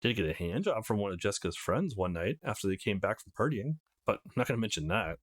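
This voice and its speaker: Man reading as an easygoing young guy, animated. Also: on the low side, quick pace, no echo, pitched below the performer's own voice. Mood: wry musing. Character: easygoing young guy, a visual novel protagonist. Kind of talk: acted visual novel read-aloud.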